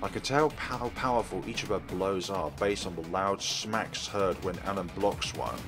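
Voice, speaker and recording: A man's voice: low voice, normal speed, music in the background. A man narrating a visual novel aloud as a let's play host. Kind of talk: reading visual novel aloud, narrating and doing character voices.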